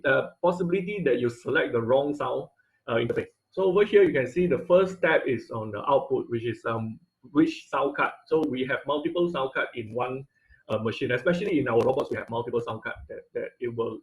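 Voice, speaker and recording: Guy teaching a class over a video call, lots of um and restarts, average pitch. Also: a little fast, rough recording. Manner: explanatory, many fillers and restarts. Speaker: instructor. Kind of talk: online class tutorial. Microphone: computer mic on video call.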